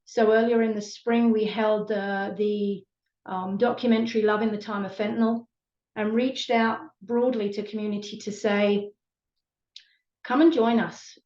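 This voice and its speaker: A British woman talks with steady, earnest passion, stopping often on small fillers. Her pitch stays flat and even, and the sound is roomy and a little dull, like a video call from an echoey room. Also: measured pace. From Britain. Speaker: community support team member. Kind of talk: online panel conversation.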